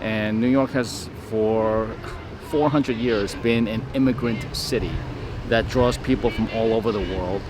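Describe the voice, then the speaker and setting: A man explaining his views in a measured, explanatory way during an interview. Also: lowish voice, steady tone, background noise. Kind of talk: interview commentary.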